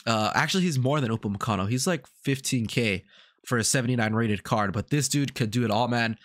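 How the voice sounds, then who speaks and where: A man's voice: medium pitch, a little fast. Congested man rambling about a game. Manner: rambling, repeats himself, many fillers. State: congested from a cold. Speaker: gaming YouTuber. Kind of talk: casual game commentary.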